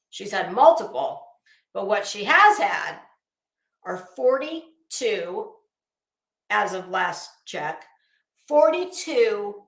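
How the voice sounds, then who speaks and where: A woman's voice: medium pitch, a bit bright. A woman livestream host on a casual rant to her chat, blunt and coarse, with an emphatic, sarcastic, challenging delivery. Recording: echoey room.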